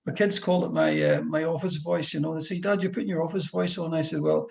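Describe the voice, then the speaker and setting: A man's voice: on the high side, steady tone, quick pace, creaky, darkish tone, slight background noise. A middle-aged man with a Fife Scottish accent, a driving instructor explaining things in a chatty, rambling way with many restarts. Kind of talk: one-to-one lesson over a call.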